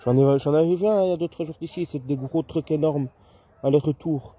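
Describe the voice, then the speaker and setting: A man's voice: on the high side, fairly quick, warm tone, breathy edge. A man chatting casually and a little hesitantly as an amateur radio operator, speaking outdoors in a garden. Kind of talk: casual two-way radio chat.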